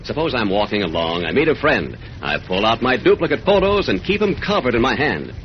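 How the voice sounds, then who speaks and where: A man with an American accent delivering a scripted 1940s radio advertising pitch as announcer. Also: very animated, a little fast. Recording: muffled, noisy old radio recording.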